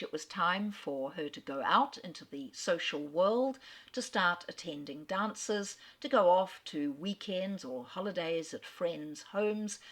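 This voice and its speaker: A middle-aged woman giving a recorded talk on an author, clear and measured, with wry asides. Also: on the low side, fairly bright tone. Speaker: literary speaker.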